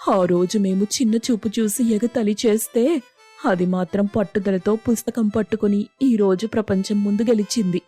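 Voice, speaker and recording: A woman voicing an excited, admiring village woman in a dramatised moral story. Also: medium pitch, moderate pace, slightly rough, faint music behind, natural voice. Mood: proud amazement.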